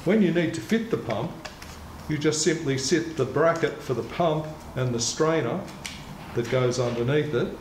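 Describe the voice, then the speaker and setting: A man in his sixties giving a measured, methodical product demonstration as a kayak retailer, speaking in an indoor shop. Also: fairly high pitch, a little mellow. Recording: echoey room with clear background noise.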